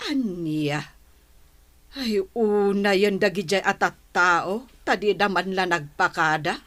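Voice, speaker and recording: A nagging middle-aged village mother in an Ilocano radio drama. She speaks very slowly in a hard, bright, mid-pitched voice, exasperated with her family. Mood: indignant.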